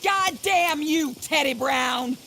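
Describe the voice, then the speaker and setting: Vengeful frontier widow for a Western game: commanding, cold, measured and slow. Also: female voice, high-pitched, pushed and bright, a little hiss or hum, exaggerated voice. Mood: furious. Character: vengeful widow, commanding woman of the old West. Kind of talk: dramatic video game acting.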